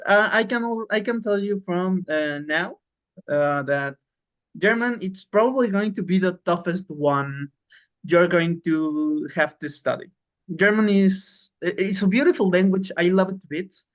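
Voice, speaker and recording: A man teaching an informal online English lesson on a class call, encouraging and often repeating himself for emphasis. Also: high-pitched.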